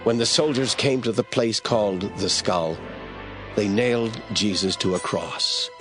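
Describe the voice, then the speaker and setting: Man narrating a bible audio drama, lowish voice, steady read, music under. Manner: steady, measured reading. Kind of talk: scripted scripture narration.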